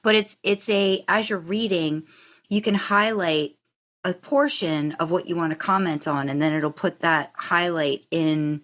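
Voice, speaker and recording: A woman with a slightly low voice hosts an interview as a casual chat. She talks informally and loosely, thinking aloud as she goes. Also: steady tone, fairly bright tone. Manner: informal, rambling, quick to laugh. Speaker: podcast host interviewing a fanfiction author.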